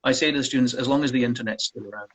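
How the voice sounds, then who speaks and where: A man speaks as a guest in a relaxed interview, filling pauses with ums. His voice has a breathy, husky edge. The pitch sits in an ordinary middle range. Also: poor audio. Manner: relaxed, frequent ums, self-deprecating. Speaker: college counselor guest. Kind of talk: casual interview answers.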